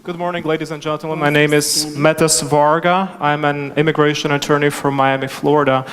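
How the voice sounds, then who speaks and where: A man with a Czech accent moderating a conference panel, formal and measured. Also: a little high, level tone, a crowd in the background. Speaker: moderator, immigration attorney. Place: large conference hall. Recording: hall reverb from a conference stage.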